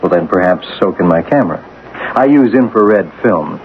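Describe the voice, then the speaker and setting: Composed, low-voiced psychic photographer. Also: male voice, lively intonation, normal speed. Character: composed, precise male medium and photographer. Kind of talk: 1970s radio drama acting. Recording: lo-fi, noisy old radio broadcast.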